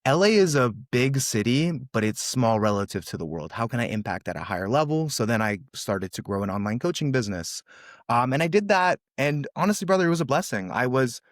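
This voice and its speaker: A young man with a fairly low voice, recorded close and dry with no room sound. He talks through his life story in an earnest, casual way, often calling the host "brother". Russian is his first language, though he grew up in California. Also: no echo. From USA, California. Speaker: guest.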